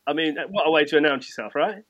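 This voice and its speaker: A man's voice: a little high, quick pace, a bit bright, muffled recording. An English man hosting a casual sports interview in a matey, informal way. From England. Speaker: podcast host interviewing a guest.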